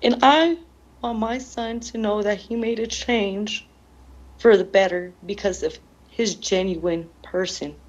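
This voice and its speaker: A woman's voice: medium pitch, unhurried, highs cut off. A grieving woman answering interview questions in an earnest, emotional, plain-spoken way, often repeating her phrases. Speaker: bereaved mother being interviewed.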